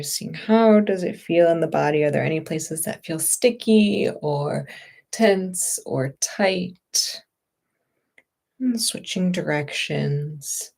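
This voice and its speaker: A woman meditation teacher with a lowish voice that carries a creaky edge. She guides gentle movement and stillness very slowly, leaving plenty of room between phrases, with a reassuring, unhurried manner.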